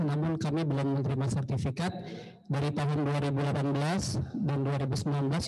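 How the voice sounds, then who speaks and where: A man with a fairly high voice speaks as a community representative. He is polite and respectful, responding formally but without a script. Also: warm tone, low-quality recording. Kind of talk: spontaneous formal response at a meeting.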